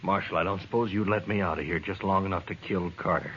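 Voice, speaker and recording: Radio western role: bitter jailed outlaw. Low, guarded, defiant, halting. Dull vintage sound with faint noise. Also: male voice, a bit bright. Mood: bitter, troubled. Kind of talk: 1950s radio western acting. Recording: dull, noisy old radio recording.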